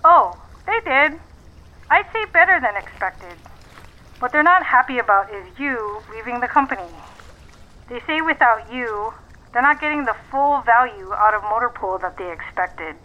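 An actress playing a brisk, persuasive businesswoman on a phone call in a naturalistic audio drama, with a businesslike, coaxing manner. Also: fairly high pitch, expressive, a little hiss or hum. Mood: matter-of-fact. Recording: dull, band-limited phone line.

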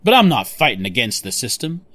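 An actor playing a cocky, ambitious corporate villain in an audio drama, speechifying smugly and persuasively. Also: male voice, mid-range voice, sing-song, a little fast, fairly bright tone, dry recording. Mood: smug, defiant. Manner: speechifying, smug.

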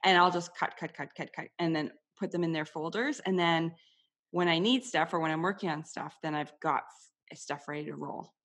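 A woman hosting an interview podcast, telling relaxed stories in casual chat. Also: low voice, brisk. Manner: relaxed, storytelling, easy laughs. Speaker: podcast host.

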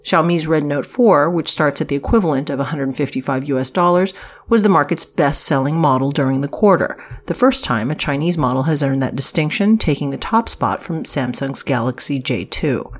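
A woman's voice: sits low, lively intonation, a little fast. A woman reading scripted business articles aloud for a news podcast, in a formal, informative delivery.

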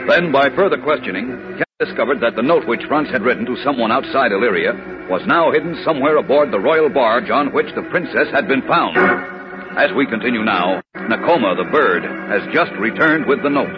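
Man as a dramatic old-time radio serial announcer over loud music. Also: mid-range voice, animated, fairly quick, muffled recording, natural voice. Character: earnest announcer recapping an adventure serial.

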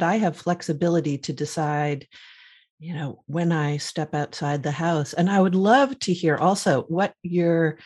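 A middle-aged woman with a gravelly morning voice, co-hosting a casual podcast chat in a warm, reflective way. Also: low-pitched. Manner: warm, reflective, asks questions. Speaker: podcast co-host.

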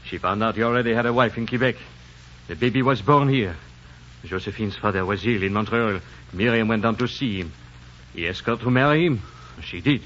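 A man's voice: lowish voice, brisk, a bit bright, lightly acted. An actor playing a loyal, earnest French-Canadian backwoodsman in a 1940s radio drama. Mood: grave, confiding. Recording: dull noisy 1940s radio recording.

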